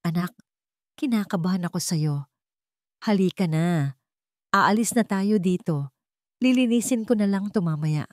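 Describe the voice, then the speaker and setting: A woman's voice: on the low side, measured pace, long pauses, a little mellow, no room sound, clean studio sound, close to an everyday voice. A woman voicing a worried, caring housemaid mother in a narrated story, anxious and tender.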